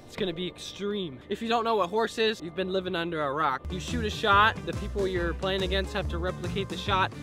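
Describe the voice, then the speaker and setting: A young man with a slightly hoarse voice hosting a playful sports vlog on an outdoor basketball court, joking and teasing his friends. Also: sits high, fairly quick, few pauses. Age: young adult. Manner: jokey, teasing, excitable. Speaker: vlog host. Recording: music playing under the voice.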